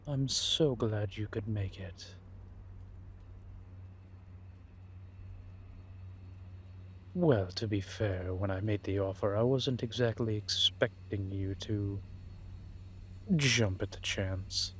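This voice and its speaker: Man playing a slow, low-voiced ancient vampire spymaster. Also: a bit bright, a little hiss or hum. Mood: wry, welcoming. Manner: measured, deliberate, with long pauses. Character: centuries-old male vampire spymaster, patient, wry, worldly. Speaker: audio roleplay performer. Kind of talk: scripted roleplay audio drama.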